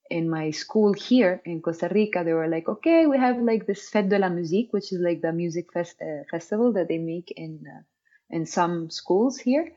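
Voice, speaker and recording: A woman's voice: fairly low pitch, darkish tone. A woman from Lebanon, an interview guest, telling her story casually with many fillers and restarts. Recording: dull lo-fi audio.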